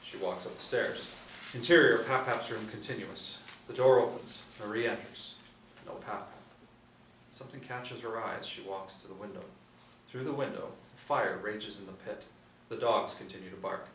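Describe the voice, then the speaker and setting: Male narrator for script read-through, lower register, stage directions, echoey room recording. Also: normal speed, a bit bright. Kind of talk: scripted table reading, narrating screenplay. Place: small indoor room. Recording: roomy, distant mic, light room noise.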